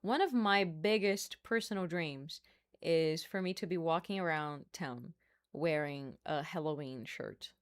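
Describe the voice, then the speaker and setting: Chatty Brazilian woman with a lowish voice. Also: a little slow. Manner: chatty, laughs often, playful asides. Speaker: streamer. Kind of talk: casual live-stream chat.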